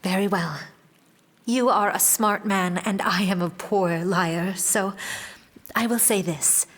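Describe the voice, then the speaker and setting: A woman's voice: medium pitch, a bit bright. Casting: anxious young noblewoman, fantasy audio drama, mid-range voice, formal and earnest, keeping secrets. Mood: earnest, insistent. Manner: earnest, measured, formal phrasing. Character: guarded, anxious young noblewoman hiding her troubles.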